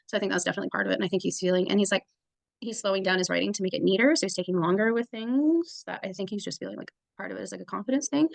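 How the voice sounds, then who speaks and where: A woman's voice: medium pitch, racing, vocal fry, no room sound. A woman who is a kindergarten teacher chatting casually on a video call, rambling with many fillers. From native English speaker. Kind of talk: casual mentor meeting chat.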